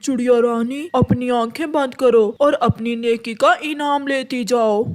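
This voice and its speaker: Slow, plaintive old tree voiced by a woman. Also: a little high, fairly even intonation, close to an everyday voice. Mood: grateful, kind. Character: big old kind tree, pleading and grateful. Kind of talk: Hindi children's cartoon voice acting.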